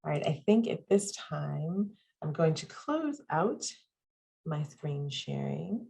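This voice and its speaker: A woman's voice: low voice, very animated, slow pace, gravelly, mellow, husky. A woman presenting and moderating an online panel on a video call, upbeat and listing items with frequent ums. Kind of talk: webinar presentation.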